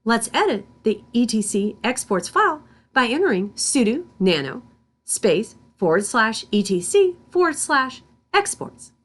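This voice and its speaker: A woman instructor reading scripted tutorial narration in a deliberate, step-by-step manner. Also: a little high, very animated. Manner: deliberate, spells out terms symbol by symbol.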